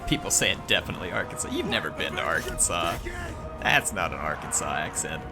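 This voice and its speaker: A man's voice: a bass voice, sing-song, fairly quick, loud music behind the voice. A man hosting a gaming channel, giving playful, jokey live commentary on a battle and chatting with his viewers.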